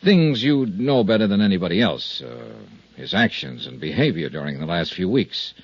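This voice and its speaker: An American actor playing a shrewd, tough insurance investigator in a 1950s radio drama, with a clipped, probing, coolly polite delivery. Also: male voice, sits low, sing-song, normal speed, husky, no room sound, exaggerated voice. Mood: measured, probing. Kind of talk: 1950s radio detective acting, narration and dialogue. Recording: muffled 1950s radio recording.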